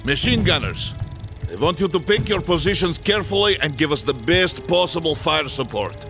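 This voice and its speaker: An actor playing a stern, rousing Soviet army commander, giving an emphatic battle briefing in a video game. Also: male voice, a little high, animated, normal speed. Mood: resolute, commanding. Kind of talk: dramatic military briefing, video game cutscene. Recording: music behind the voice. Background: loud music.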